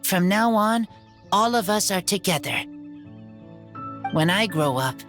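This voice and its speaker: A woman voicing a gentle, earnest feral boy in an anime game, speaking solemnly and haltingly in short, simple phrases. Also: medium pitch, expressive, soft background music, close to an everyday voice. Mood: sincere.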